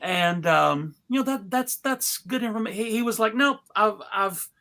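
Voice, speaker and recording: A man hosting a live table read, introducing people in a casual, joking, halting showman's manner. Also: sits high, sharp tone.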